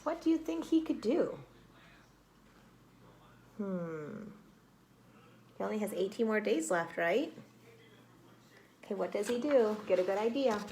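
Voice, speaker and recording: Expressive woman reading to children, noisy background. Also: medium pitch. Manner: expressive, dramatic, with exclamations and prompting questions. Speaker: teacher reading aloud to children. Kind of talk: read-aloud storytelling with questions to young listeners.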